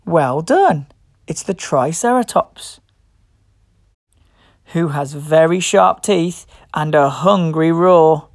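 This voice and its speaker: A woman reading a picture book aloud to young children as host, expressive, playful and encouraging. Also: low-pitched, takes it slowly, long pauses, dry recording, studio quality. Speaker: children's storybook reader and host.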